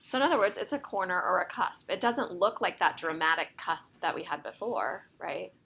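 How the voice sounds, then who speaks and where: A woman's voice: lowish voice, moderate pace, gravelly, bright, forceful tone, a little hiss or hum. A woman math teacher lecturing a class step by step, often checking in with the students, her voice a little worn. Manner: explains step by step, checking in with "right?". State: slightly losing her voice.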